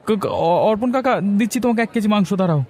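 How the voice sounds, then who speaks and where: A man's voice: sits high, animated, very fast, darkish tone, a faint crowd. A man voicing a whiny, remorseful young grandson who pleads, in a fairy-tale cartoon. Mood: puzzled.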